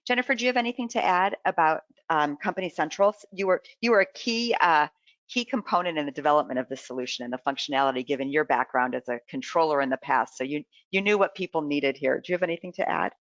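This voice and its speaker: A presenter giving a live software demonstration in an informal way, explaining and thinking aloud while navigating. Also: medium pitch, fast, dry recording, muffled recording.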